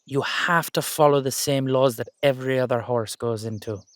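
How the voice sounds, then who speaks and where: A man's voice: medium pitch, moderate pace, no room sound, close to an everyday voice. A man playing an exasperated but affectionate Irish dullahan rider, chatting coaxingly and teasingly to his horse in a roleplay audio drama. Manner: conversational, coaxing, teasing, slangy.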